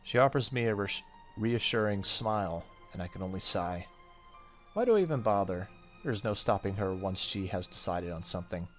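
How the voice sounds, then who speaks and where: A man's voice: lowish voice, moderate pace, faint music behind. A man hosting a gaming video, reading visual novel narration aloud and now and then stumbling and rereading. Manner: stumbles over text and rereads. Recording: faint background noise.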